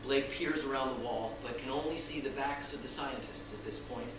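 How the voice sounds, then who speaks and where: A man's voice: mid-range voice, moderate pace. A man narrating the stage directions of a script at a live reading, in scripted present-tense prose. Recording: echoey room, distant mic, noisy.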